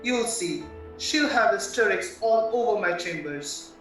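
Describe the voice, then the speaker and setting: An actor as a shrewd, worldly barrister in a radio drama. He speaks bluntly in a high, bright male voice, a little distant in a very echoey room. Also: expressive, a little slow, soft background music. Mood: blunt, musing. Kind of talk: stage-style radio play acting.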